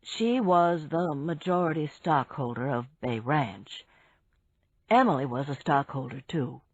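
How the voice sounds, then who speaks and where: A woman's voice: sits low, expressive, unhurried, slightly rough, highs cut off, pitched below the performer's own voice, full character voice. A woman voicing a saddened, weary but courteous man in an audiobook story, subdued and polite. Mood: sad, subdued.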